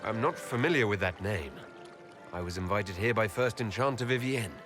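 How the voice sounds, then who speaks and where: An actor playing a steady, plain-spoken leader in a fantasy video game, composed and direct. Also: male voice, on the low side, normal speed, over music, lightly acted. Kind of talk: video game dialogue acting.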